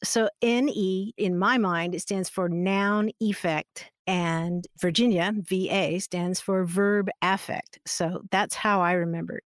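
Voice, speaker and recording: A woman's voice: on the low side, fairly even intonation, slow, few pauses, no room sound, clean studio sound. Friendly woman explaining grammar. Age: middle-aged. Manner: friendly, laughs often, explains patiently. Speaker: grammar expert guest. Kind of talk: conversational explanation on a podcast.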